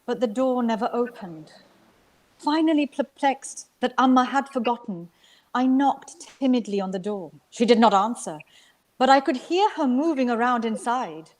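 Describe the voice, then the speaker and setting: A woman from Sri Lanka reading literary fiction aloud as an actor, with measured, expressive storytelling. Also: on the high side, low-quality recording. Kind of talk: dramatic literary narration.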